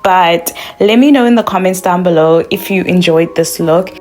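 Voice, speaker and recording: A woman's voice: lowish voice, moderate pace, a little mellow, faint music behind. Bubbly chatty young woman from South Africa. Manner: bubbly and chatty, lots of "like" fillers. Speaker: makeup vlogger. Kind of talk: casual makeup tutorial.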